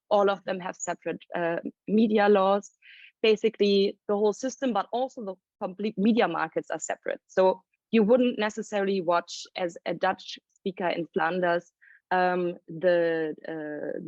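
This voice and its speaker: A woman presenting findings as a report author at a panel launch, explaining at length and thinking aloud with pauses. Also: medium pitch, a little mellow, dry recording. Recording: dry, dull lo-fi sound.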